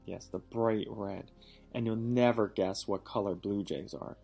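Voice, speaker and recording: A man reading a storybook aloud and pausing to ask questions in a patient, encouraging manner. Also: lowish voice, fairly even intonation, vocal fry, dark tone, husky, faint music behind. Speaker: reading teacher or parent reading aloud.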